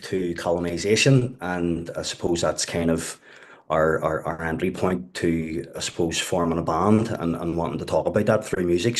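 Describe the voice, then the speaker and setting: A man with a Belfast accent and a very low, deep voice talks at a webinar. He speaks hesitantly, stacking up fillers and starting over, and drops in local words like "wee". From West Belfast, Northern Ireland. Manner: hesitant, many fillers and restarts, says "wee bit". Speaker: webinar guest speaker, community youth worker. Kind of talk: casual spoken talk.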